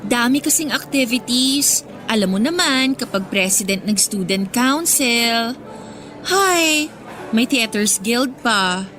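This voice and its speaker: A woman's voice: a little high, animated, slow, a bit bright. A woman playing an ambitious, pushy teenage student leader in a Filipino radio drama, talking persuasively and insistently with a Filipino accent. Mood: determined. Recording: music under the dialogue.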